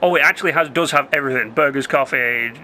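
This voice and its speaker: A young man giving rambling, casual commentary while playing a city-building game, his voice going hoarse. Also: mid-range voice, fast talker, bright, forceful tone, slight background noise. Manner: chatty, rambling, self-interrupting. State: losing his voice. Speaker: gameplay commentator.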